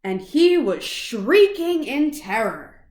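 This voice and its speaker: A woman reading a children's picture book aloud in an expressive, dramatic way for young listeners. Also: high voice, slow pace, reverberant. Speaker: children's storybook reader.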